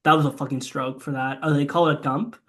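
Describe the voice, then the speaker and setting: Brief: young male podcast co-host, slightly high voice, swears freely, eager plot recaps. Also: fairly even intonation, fast talker. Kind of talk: casual movie chat.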